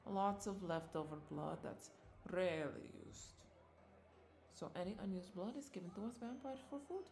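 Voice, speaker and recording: A woman's voice: on the low side, moderate pace, background noise, natural voice. A woman playing a flirty, doting vampire bartender in a roleplay audio drama, coaxing and affectionate as she speaks to the listener. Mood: soothing, attentive. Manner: coaxing, affectionate, lingering over words with soft chuckles.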